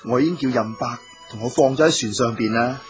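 A man's voice: mid-range voice, fast, soft background music, close to an everyday voice. A man playing a courteous, dutiful scholar-official in a Cantonese radio drama, earnest, formal and theatrical.

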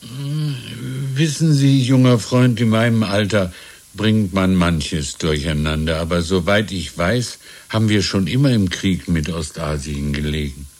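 Deep-voiced, hesitant old man. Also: measured pace, a little mellow. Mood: courteous, evasive. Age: older. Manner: hesitant, musing, old-fashioned courteous phrasing. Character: cautious, evasive old shopkeeper, courteous and wistful. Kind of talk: 1970s German radio play acting.

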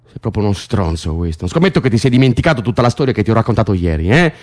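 A man's voice: on the low side, big pitch swings, very fast, a little mellow. A man acting in an Italian radio drama, playing a weary, driven political prisoner who bosses his cellmate with wry, foul-mouthed exasperation. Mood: exasperated, coaxing.

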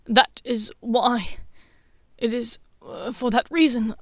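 A woman's voice: on the high side, fairly even intonation, slow, no echo, clean studio sound. A woman voicing a frantic young male wizard who stammers and pleads in anguish. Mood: frantic pleading.

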